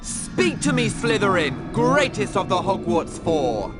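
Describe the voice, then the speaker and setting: A menacing young dark wizard from a video game, taunts with grand arrogance. His voice sits a little high and moves slowly and theatrically, under loud music. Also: big pitch swings. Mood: taunting.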